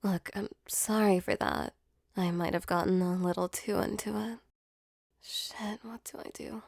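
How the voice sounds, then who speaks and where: A woman voicing a female sleep paralysis demon in an intimate ASMR roleplay, with a soft, close delivery. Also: lowish voice, steady tone, a little slow, gravelly, no room sound. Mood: flustered, apologetic. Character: female sleep paralysis demon, mock-menacing turned tender and flustered.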